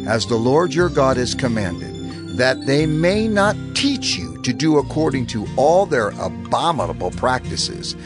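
Formal guy narrating the bible, lively measured reading with music under it. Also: mid-range voice. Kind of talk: scripted dramatized scripture narration. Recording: studio narration over music.

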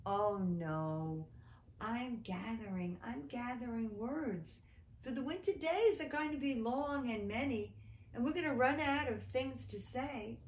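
A woman voicing a gentle, dreamy young male field mouse who is a shy poet, speaking softly and thoughtfully. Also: a little high, unhurried, slight background noise, voice pushed up, close to an everyday voice. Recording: roomy echo.

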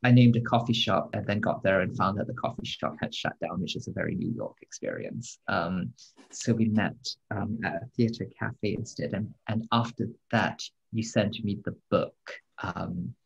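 Gravelly, husky man on a dull line. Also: lowish voice, normal speed. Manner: hesitant, wry, frequent ums. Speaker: translator guest. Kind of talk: casual conversation.

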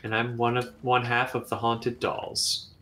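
A woman's voice: extremely low pitch, little pitch movement, measured pace, fairly bright tone, a little hiss or hum. A woman chatting and joking with friends during a game stream, reading viewer questions aloud. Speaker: guest streamer. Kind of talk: casual gaming chat.

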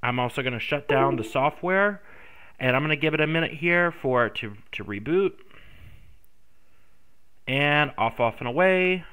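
A man's voice: fairly high pitch, expressive, normal speed, pauses often, bright, forceful tone, clean tone. A congested man hosting a screen-recorded tech tutorial, rambling with many ums and self-corrections. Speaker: tutorial host.

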